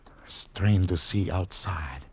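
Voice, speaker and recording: An actor playing a haunted secret agent on the run, narrating in dramatic, deliberate, clipped phrases in 1940s radio thriller style. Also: male voice, low voice, gravelly, slightly rough, heavily acted. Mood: hushed suspense. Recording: noisy 1940s radio recording with clicks.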